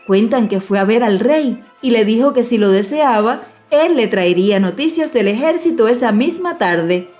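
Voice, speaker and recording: High-voiced woman narrating a fairy tale. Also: expressive, moderate pace, hardly any pauses. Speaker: audiobook narrator. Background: soft music.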